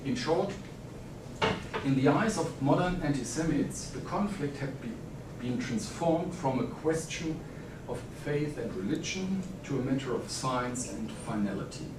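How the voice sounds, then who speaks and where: A man from Germany with a German accent, reading a formal conference paper in a measured way, with frequent "uh" pauses. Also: mid-range voice, expressive. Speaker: academic conference speaker. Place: conference hall. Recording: echoey hall, distant mic, noisy.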